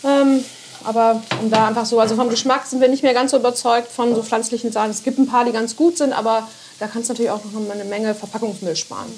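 A woman's voice: a little high, steady tone, fast talker, a little mellow, slight background noise. A woman guest cook explaining sauce-making, agreeable and often affirming. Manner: agreeable, many "genau". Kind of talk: explaining cooking steps.

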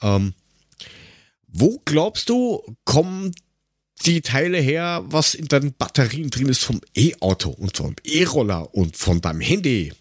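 Male podcast host, middle-aged, animated pitch, wry and halting, casual banter, very dry recording. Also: husky, no echo. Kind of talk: casual humorous podcast chat.

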